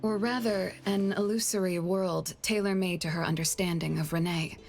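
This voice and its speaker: Composed, lowish-voiced young woman. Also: steady tone, vocal fry, a little mellow. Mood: grave, explanatory. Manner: serious, measured, sighing. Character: composed, guarded young woman with a burdened past. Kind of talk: anime game voice acting. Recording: faint music behind the voice.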